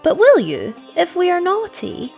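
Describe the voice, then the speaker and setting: A woman's voice: sits high, sing-song, normal speed, soft background music, voice pushed up. A woman voicing a tearful, pleading little girl in a storybook reading. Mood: eager pleading. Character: two little girls, tearful, pleading, longing to be naughty.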